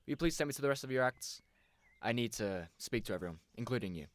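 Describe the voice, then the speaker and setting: A brusque police inspector, played by a man, questioning people in a period radio drama. His voice is mid-pitched and businesslike, with a suspicious edge. It is recorded very dry. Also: lively intonation, fast, dry recording, close to an everyday voice. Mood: brisk, authoritative. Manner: curt, businesslike, probing. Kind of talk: amateur 1920s-set radio drama acting.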